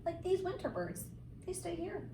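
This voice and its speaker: A woman reading a picture book aloud to young children like a teacher, with expressive, sing-song, emphatic phrasing and simple explanatory asides. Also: very high voice, normal speed. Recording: roomy, distant mic, faint background noise.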